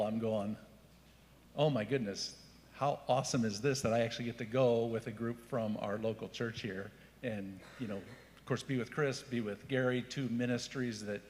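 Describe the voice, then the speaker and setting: Panelist, man, upbeat and enthusiastic, echoing church hall. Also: mid-range voice. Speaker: panelist, denomination staff. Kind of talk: panel discussion. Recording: echoey hall.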